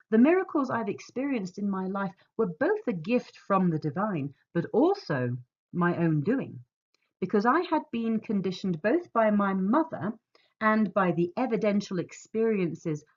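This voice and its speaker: An English woman reading a scripted personal reflection in a measured, earnest, expressive way. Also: medium pitch. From England. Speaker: author reading her foreword. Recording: slightly dull, muffled audio.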